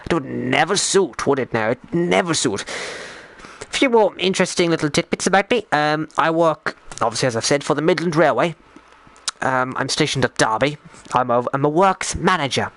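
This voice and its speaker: A man voicing a genteel, bumbling 1920s English gentleman, rambling in old-fashioned posh phrases on a live stream, hesitant and repeating himself. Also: on the high side, expressive, moderate pace, no room sound. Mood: chatty, reminiscing. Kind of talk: in-character live stream chat while gaming.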